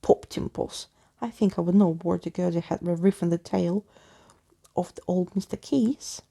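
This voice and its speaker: A woman plays an old living skeleton gentleman from a children's storybook. He is pompous, wordy and unflappable, with a very creaky, slightly husky voice and florid, muddled phrasing. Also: lowish voice, normal speed. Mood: unruffled. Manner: florid, grandiose wording with muddled words.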